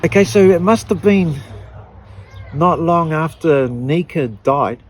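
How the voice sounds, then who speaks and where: A middle-aged woman with a New Zealand accent, giving an emotional personal testimony in an earnest, halting way with frequent restarts. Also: low-pitched, animated, slightly rough. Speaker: activist interviewee.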